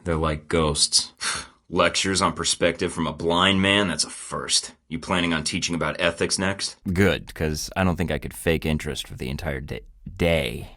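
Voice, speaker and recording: A man's voice: deep voice, fairly even intonation, normal speed, sharp tone. An actor voicing a young male secret agent in a video game, dry and wry with sardonic one-liners. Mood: cocky. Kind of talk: game voice lines. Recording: studio game dialogue.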